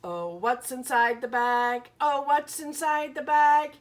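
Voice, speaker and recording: A woman's voice: high-pitched, animated, slow. A woman teaching young children, reading aloud and explaining simply, with questions and carefully sounded-out words. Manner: sounds out letters and words with emphasis. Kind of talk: children's lesson and picture-book read-aloud.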